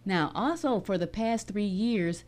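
A woman's voice: medium pitch, lively intonation, a little slow, a little mellow. A woman hosting an interview, asking questions and encouraging her guest with short affirmations. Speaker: interview host.

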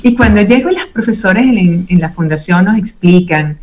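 A woman's voice: fairly low pitch, animated, normal speed, darkish tone. A woman speaking as an interview guest, reflective and earnest in a conversational style. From Venezuela.

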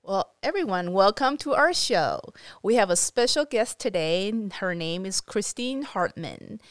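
A woman's voice: mid-range voice, animated, measured pace, no echo. Female co-host, reads the introduction, welcoming, dry close mic. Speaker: podcast co-host. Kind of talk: reading a guest introduction.

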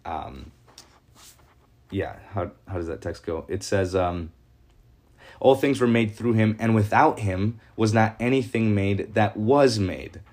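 A man's voice: on the low side, slow pace, darkish tone. A man teaching from the Bible as a pastor, talking informally with rhetorical questions and frequent hesitations. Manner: conversational, asks rhetorical questions, many hesitations.

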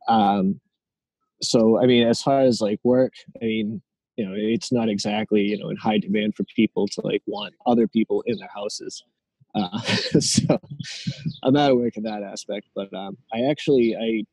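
Male, late 20s, casual hesitant guest, husky voice, dry sound. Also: on the low side, warm tone, dry recording, poor audio. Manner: hesitant, many fillers and restarts. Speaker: interview guest. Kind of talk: casual conversation.

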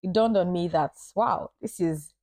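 A woman's voice: on the low side, a little mellow. A young woman from Nigeria with a Nigerian accent, a podcast guest who chats casually with plenty of ums and laughs. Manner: bubbly, laughing, many ums and pauses.

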